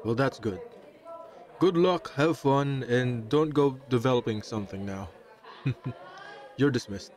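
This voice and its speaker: A man's voice: medium pitch, slow, pauses often, close to an everyday voice. A man voicing a male school teacher in an audio roleplay, authoritative and matter-of-fact with dry teasing. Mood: dryly teasing. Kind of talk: amateur audio roleplay acting.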